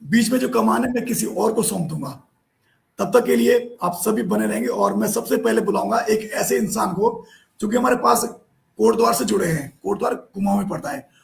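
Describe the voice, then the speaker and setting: Hoarse guy hosting a live stream, rough croaky voice, keeps saying sorry about his throat. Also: high voice, expressive, moderate pace. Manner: apologetic, repeatedly chasing guests about camera problems. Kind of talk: hosting a live online poetry meet. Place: remote spot with poor network.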